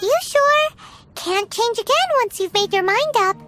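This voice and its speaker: A woman voicing a tiny, childlike female game companion, squeaky, cheeky and excitable, speaking of herself in the third person. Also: a little slow, no room sound, faint music behind, exaggerated voice. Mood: eager. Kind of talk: anime game voice acting.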